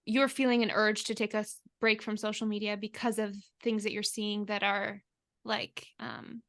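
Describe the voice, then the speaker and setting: A woman's voice: mid-range voice, level tone, normal speed, a bit bright, dry recording. Woman chatting hesitantly, close and dry. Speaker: podcast co-host. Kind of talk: casual podcast chat.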